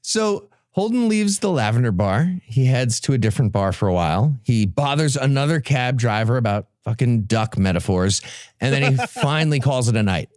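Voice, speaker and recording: A man's voice: medium pitch, animated, normal speed, a little mellow, no echo, studio quality. A sardonic, emphatic man presenting a comic podcast summary, swearing freely.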